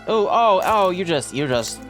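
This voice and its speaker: A man's voice: sits high, big pitch swings, moderate pace, fairly bright tone, soft background music. A young adult man giving casual, unscripted reaction commentary as host of a video.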